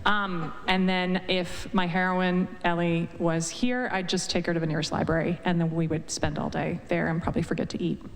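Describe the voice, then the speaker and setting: A woman's voice: lowish voice, level tone, moderate pace, few pauses. An eager, enthusiastic woman author answering on a live panel. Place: event hall. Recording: reverberant hall, faint noise.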